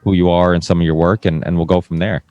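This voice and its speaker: A man's voice: low voice, level tone, quick pace, dark tone, highs cut off, slight background noise. A man hosting a live panel talk show in a casual, informal and meandering way.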